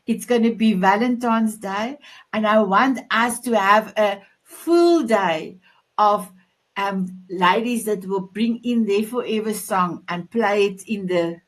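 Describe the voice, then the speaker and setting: A woman with a South African Afrikaans accent hosting a talk show in a gushing, affectionate, halting way. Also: mid-range voice. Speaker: show host.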